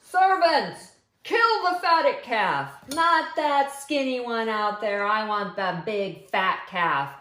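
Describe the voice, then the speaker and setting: A woman voicing a joyful, excited father who shouts with glee at his son's return. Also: slow, reverberant, full character voice. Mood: exuberant. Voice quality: high, shouting, exuberant. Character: joyful excited father, middle-aged man.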